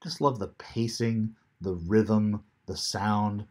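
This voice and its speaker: Man, 50s, home webcam lecturer; low, unhurried, reflective delivery. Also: fairly even intonation, a little mellow. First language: English. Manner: unhurried, thoughtful, many ums, reads poetry aloud slowly. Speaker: teacher. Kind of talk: recorded classroom lecture. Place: small room at home, seated in armchair.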